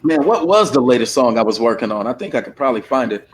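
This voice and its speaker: A man's voice: medium pitch, animated, quick pace, a little hiss or hum. A man hosting a casual livestream panel discussion, talking bluntly and with plenty of profanity over a desktop microphone. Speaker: panel host.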